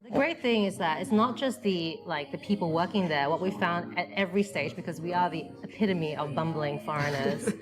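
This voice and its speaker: A woman's voice: lowish voice, a little fast, hardly any pauses. A woman with an Australian accent chatting casually as an interview guest. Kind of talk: casual chat.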